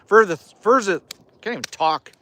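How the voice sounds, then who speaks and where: A man's voice: sits high, animated, moderate pace, bright, forceful tone. A middle-aged Canadian man hosting a casual livestream from his cabin, chatting folksy and joking with viewers as he reads their comments. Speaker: livestream host. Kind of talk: casual live chat reading viewer comments. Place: cabin by a wood fire.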